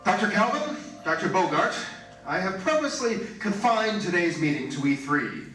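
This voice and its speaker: A man playing an officious, excitable head of a robotics firm in theatrical radio style. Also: sits high, moderate pace, loud music behind the voice. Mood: grave, indignant. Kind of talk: theatrical radio play acting. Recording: very echoey room, distant mic, faint noise.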